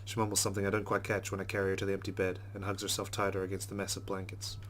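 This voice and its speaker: A man with a lowish voice reads a visual novel aloud at a brisk clip. He is expressive and slips into casual commentary as he plays. A faint noise sits under the voice. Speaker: let's play host.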